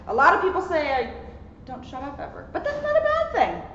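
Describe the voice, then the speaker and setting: Animated high-voiced woman in a reverberant room. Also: a little fast. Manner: talkative, expressive. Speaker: police officer reading a children's book. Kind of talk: storybook read-aloud with casual chatty asides. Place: indoor lounge at a borough hall. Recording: echoey reverberant room, muffled, some noise.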